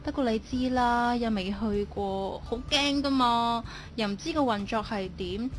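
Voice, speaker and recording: A woman's voice: on the high side, measured pace, soft background music, lightly acted. An actress playing a timid, lovelorn young female office worker in a radio drama, speaking hesitantly. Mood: shy, relaxed. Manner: hesitant, stammering when upset. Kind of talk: Cantonese online radio drama acting.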